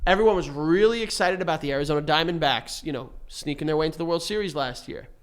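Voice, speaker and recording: A man's voice: fairly high pitch, quick pace, clear, resonant tone. A man co-hosting a podcast, teasing and riffing playfully in crude casual banter. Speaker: podcast co-host.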